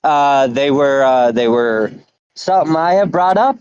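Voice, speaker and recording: A man's voice: medium pitch, animated, a little slow, a little mellow, smooth, no echo, muffled recording. A young man casually hosting a podcast, rambling with lots of "anyways" and laughter. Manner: rambling, many fillers and laughs. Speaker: podcast host.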